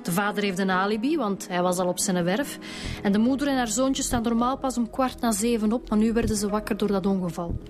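An actress playing a brisk police detective with a Flemish accent in a radio drama, matter-of-fact in manner. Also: female voice, medium pitch, a little mellow, faint music behind, lightly acted. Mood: reporting.

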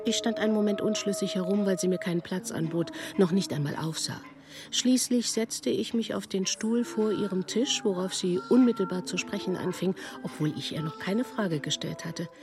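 Literary narrator, plain factual telling, music underneath. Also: male voice, high voice, moderate pace. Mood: reflective. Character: hesitant first-person narrator recounting a visit.

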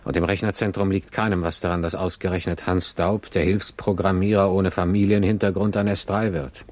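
A man's voice: deep voice, flat delivery, fairly quick, a little mellow, close to an everyday voice. An actor playing an idealistic, frustrated male junior programmer in a 1960s German radio drama, earnest and impassioned. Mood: bitter.

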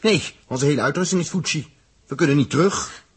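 An actor playing a bossy, impatient, technically minded space explorer in a 1960s radio drama, gruff and explanatory. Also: male voice, a little high, fairly quick. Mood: exasperated. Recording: noisy early 1960s radio recording with clicks.

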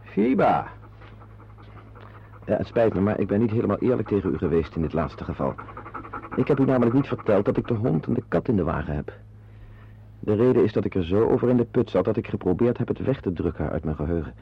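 A muffled, noisy radio play recording of a polite gentleman driver. His voice is on the low side and he speaks in a wry, articulate way, with vehicle and animal sounds behind him. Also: sing-song, a little fast, creaky. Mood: apologetic. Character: courteous man driving his cleaning lady and pets. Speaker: radio play actor and narrator. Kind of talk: radio drama, wry narration and dialogue.

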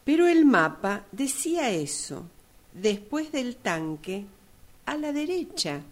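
Cocksure Argentine female navigator played by a woman, insistent, unhurried, very animated, radio drama. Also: on the high side. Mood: breezy, insistent. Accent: Argentine, Rioplatense. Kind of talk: radio drama workshop acting.

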